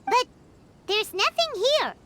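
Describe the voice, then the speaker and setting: A woman voicing a tiny, childlike, excitable fairy companion in a video game. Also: very high voice, slow, slight background noise, heavily acted. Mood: puzzled. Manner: speaks of self in third person, expressive.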